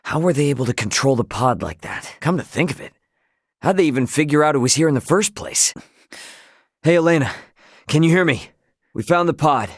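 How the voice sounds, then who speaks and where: A man's voice: a little high, expressive, brisk, pauses often, no echo, studio quality. An actor voicing a brash, loyal young male spaceship captain in a video game, with an American accent and a casual, cocky, emphatic way of talking. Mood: easygoing, friendly.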